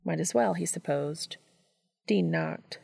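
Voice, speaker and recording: A woman's voice: lowish voice, slow pace, gravelly, dark tone, husky, no room sound, studio quality. A woman reading a scripted story aloud as an audiobook narrator. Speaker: audiobook reader.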